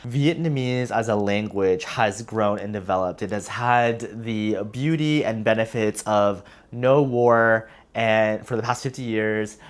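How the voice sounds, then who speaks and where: A man from the United States with an American accent, talking casually and reflectively to viewers, explaining with hedges and emphasis on key words. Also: medium pitch. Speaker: vlogger, heritage language learner.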